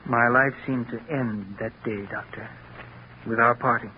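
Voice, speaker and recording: A man's voice: medium pitch, steady tone, a little slow, faint music behind, lightly acted. An actor playing a lovelorn, unhappily married middle-aged man with earnest, melodramatic delivery in 1940s radio style. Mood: melancholy, reflective. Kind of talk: 1940s radio drama acting. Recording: scratchy, dull 1940s radio recording.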